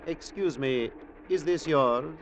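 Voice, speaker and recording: Reserved, formal, secretive doctor. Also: male voice, fairly high pitch, slow pace, slight background noise. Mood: reserved courtesy. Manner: formal, polite. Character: reserved, courteous, secretive male doctor traveling incognito. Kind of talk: 1940s radio acting. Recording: muffled vintage radio.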